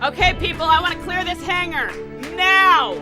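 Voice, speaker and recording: A woman's voice: very high voice, normal speed, over music. An actress playing an urgent, commanding female hangar worker in a science fiction audio drama.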